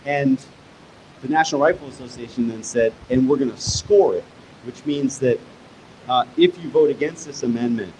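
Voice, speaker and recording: A man's voice: mid-range voice, normal speed, a little mellow, a little hiss or hum, low-quality recording. A man who is the guest author at a live stage conversation. He explains history patiently and step by step, his mid-range voice building emphasis as he makes each point.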